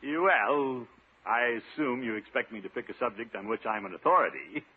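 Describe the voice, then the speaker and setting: Blustery, pompous city official, old radio comedy. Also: male voice, mid-range voice, expressive, normal speed, breathy edge. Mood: flustered, eager. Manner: blustery, chuckling, hesitant self-important delivery. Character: pompous, eager, bluffing middle-aged city official. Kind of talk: 1950s radio situation comedy acting. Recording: noisy, tinny 1950s radio recording.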